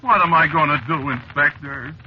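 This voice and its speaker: An actor playing a nervous, superstitious American cab driver in a 1940s radio drama, pleading and stammering in working-class slang. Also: male voice, on the high side, moderate pace, lightly acted. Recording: dull, noisy vintage radio recording.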